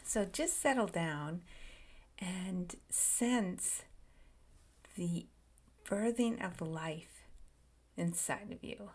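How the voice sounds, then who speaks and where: A woman leading a guided meditation, speaking softly in short phrases with long pauses and frequent whispered words. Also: fairly low pitch, expressive. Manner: slow, soft and soothing, drifting into whispers.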